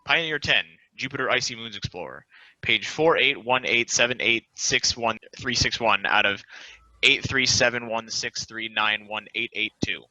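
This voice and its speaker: A man narrates stage directions from a script. His voice is very bright and forward, at a medium pitch. The sound is close and dry. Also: moderate pace, no room sound, low-quality recording.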